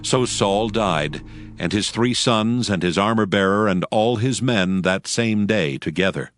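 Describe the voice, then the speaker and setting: Solemn low-voiced male scripture narrator. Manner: solemn, measured storytelling. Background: music bed.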